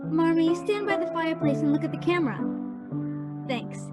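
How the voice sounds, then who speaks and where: A woman acting a spirited, petulant young girl who loves art and has a sharp tongue, in a radio play. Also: very high voice, moderate pace, over loud music. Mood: bossy, bright. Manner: petulant, sarcastic.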